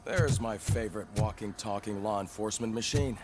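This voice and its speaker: A man's voice: fairly low pitch, brisk, noisy background, close to an everyday voice. An actor playing a breezy male police repair technician in a game cutscene, chatty and jokey. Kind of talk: video game cutscene acting.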